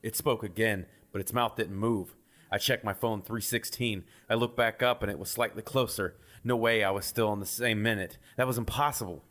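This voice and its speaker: A man's voice: fairly low pitch, steady tone, normal speed, a bit bright. A man reading a scripted first-person creepypasta as a horror story narrator. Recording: music behind the voice.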